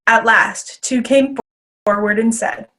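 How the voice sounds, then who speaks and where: A fairly high female voice narrates scripture for a readers theater. She reads formally, at a measured, scripted gait. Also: fairly even intonation, pushed and bright.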